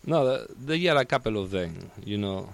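A man from Spain with a Spanish accent, talking as a guest in casual chat, halting and restarting as he searches for words. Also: lowish voice, lively intonation, gravelly.

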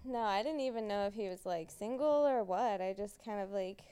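A woman with a smooth, clear voice chats as a podcast guest. She tells her story in a giggly, offhand way, slipping into quoted asides. Her pitch sits in an ordinary middle range. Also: moderate pace, warm tone. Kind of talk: casual podcast chat.

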